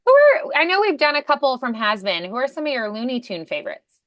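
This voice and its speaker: A woman's voice: sits high, big pitch swings, quick pace, very noisy. A woman coaching a student in a casual one-on-one conversation, with an encouraging, affirming manner. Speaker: voice acting coach.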